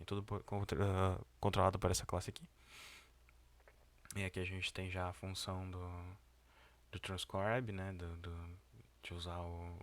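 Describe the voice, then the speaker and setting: A young man with a very deep voice talks through his research project in a loose, casual way. He hesitates often, fills pauses and restarts his sentences. The sound is close and completely dry, with no room around it. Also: a bit bright, dry recording. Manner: hesitant, many fillers and restarts. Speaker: student presenting a research project. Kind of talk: casual screen-share walkthrough.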